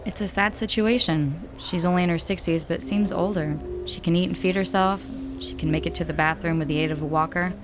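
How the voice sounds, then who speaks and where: Woman playing a polite nurse, slightly low voice. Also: fairly even intonation, a little fast, hardly any pauses, over music, lightly acted. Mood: sympathetic. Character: female nursing home nurse, polite and sympathetic. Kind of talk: audio drama acting.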